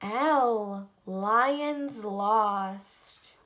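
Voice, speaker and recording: A woman reading a picture book aloud to children and teaching the alphabet, with deliberate pauses between phrases. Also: medium pitch, fairly bright tone. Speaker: read-aloud storyteller for children.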